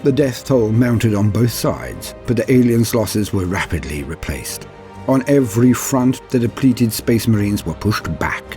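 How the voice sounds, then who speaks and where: Man, lowish pitch, animated range, grave theatrical narration, deliberate phrasing, scripted war saga, soft music behind. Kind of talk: dramatic scripted reading of war-fiction lore. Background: background music.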